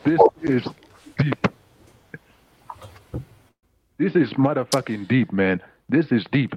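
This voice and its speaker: A man talking football with friends in casual chat, excitable and emphatic, swearing freely. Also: mid-range voice, a little slow, a little hiss or hum. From South Africa. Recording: dry, dull lo-fi audio.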